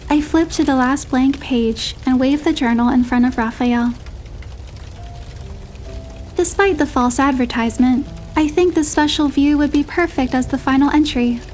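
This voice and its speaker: A woman narrating a romantic first-person story in a measured, expressive storytelling manner. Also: high voice, faint music behind. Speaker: narrator and heroine voice.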